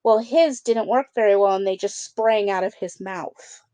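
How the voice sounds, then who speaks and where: A woman's voice: medium pitch, moderate pace, mellow, no echo. A woman teacher reading a picture book aloud, adding conversational explanations and check-in questions for children.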